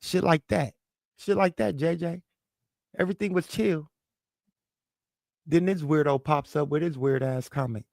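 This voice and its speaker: A man's voice: fairly high pitch, measured pace, creaky, long pauses, darkish tone, no room sound, studio quality. A man from St. Louis with a lisp and a local accent, hosting a livestream and arguing with commenters in the chat in a profane, confrontational way. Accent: St. Louis, American South Midwest. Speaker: livestream host. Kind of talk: heated livestream rant, answering chat.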